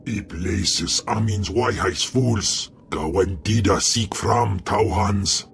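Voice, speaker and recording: A brutish reptilian alien servant in a video game. The creature talks in a made-up tongue, very deep and slow, with slight noise behind it. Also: male voice, a bit bright. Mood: menacing. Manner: slow alien speech in invented language.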